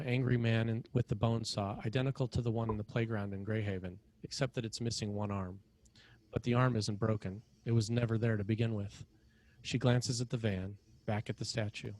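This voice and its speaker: A man with a fairly low voice narrates a screenplay. He reads out scene headings and action as scripted narration for a table read. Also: a little mellow, poor audio.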